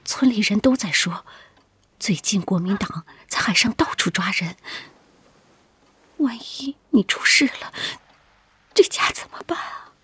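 An actress playing an anxious young pregnant wife in a radio drama, pleading stubbornly in a hushed, breathy voice. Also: female voice, medium pitch, animated, a little slow, long pauses, in a whisper, exaggerated voice. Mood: worried, hushed.

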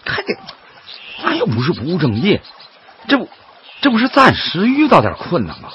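An actor playing an earnest, stubborn, cheerful laid-off worker in a radio drama, coaxing and folksy, with a Northeastern Chinese accent. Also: male voice, high-pitched, wildly swooping pitch, normal speed. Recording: dull lo-fi audio, loud background noise.

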